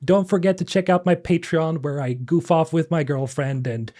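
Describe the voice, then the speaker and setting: A young man giving casual gaming news commentary, animated and incredulous, with a voice getting raspy and tired. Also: a little high, normal speed. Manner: animated, incredulous, with frequent fillers and laughs.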